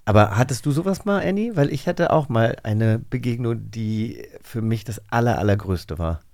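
A man in his early forties, a podcast host and actor, telling stories in a calm, reflective way with frequent "äh" fillers. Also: mid-range voice, normal speed, no room sound, studio quality. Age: early 40s. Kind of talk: casual conversation.

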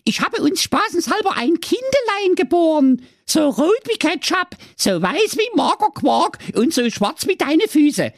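A squeaky, shrill Saxon housewife, put on by a male narrator, coaxing in a sing-song lilt. Her voice soars far above his own and swings wildly up and down. Dry, close sound. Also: sing-song, unhurried, few pauses, no echo, heavily acted. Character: shrill scheming housewife, middle-aged woman.

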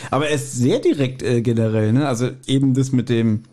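A German man with a mellow, dark-toned voice chats casually as a podcast co-host. His delivery is wry and teasing, given to joking. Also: medium pitch, brisk.

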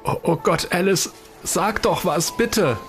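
A man's voice: sits high, takes it slowly, music in the background. An actor playing a blunt, wary man in an amateur science fiction audio drama. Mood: panicked, desperate. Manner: suspicious, blunt. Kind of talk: hobby sci-fi audio drama acting.

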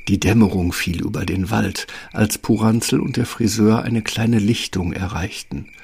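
A man's voice: lowish voice, normal speed, creaky, a little mellow. A man narrating a scripted fairy tale for an audio play.

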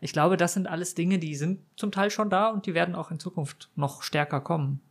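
A man's voice: a little high, a little fast. A man speaking as a podcast guest, explaining his ideas in a considered but casual way. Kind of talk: casual discussion.

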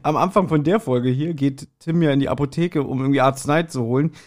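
A man's voice: medium pitch, a little fast. A man co-hosting a podcast, chatting casually as he reviews audio dramas and acts out short quotes. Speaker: podcast co-host.

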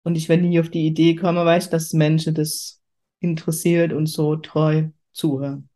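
A woman's voice: low-pitched, little pitch movement, moderate pace, dark tone. Effusive, chatty woman podcast host. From Kurpfalz, southwest Germany. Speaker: podcast host, spiritual medium. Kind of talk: casual, spontaneous podcast chat.

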